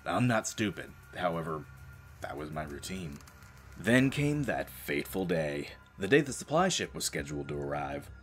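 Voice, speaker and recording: A man acting a world-weary, deadpan space marine reduced to janitor, telling his story as dramatic audio drama narration. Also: low-pitched, moderate pace, soft background music. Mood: grim, then wry, reflective. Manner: sardonic, deadpan, brooding. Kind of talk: dramatic first-person scripted narration.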